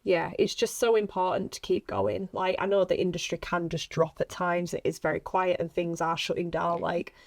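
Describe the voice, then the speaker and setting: A woman's voice: fairly low pitch, moderate pace, gravelly, hardly any pauses. A woman actress in a casual podcast chat, earnest and encouraging, often repeating herself for emphasis. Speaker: actress guest.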